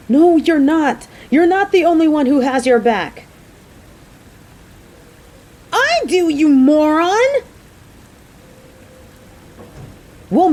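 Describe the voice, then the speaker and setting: Woman acting caring, motherly coworker, soft mellow voice. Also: high-pitched. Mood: exasperated, pleading. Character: caring, motherly female warehouse coworker, smitten and fretful. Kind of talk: scripted romantic audio roleplay spoken to the listener.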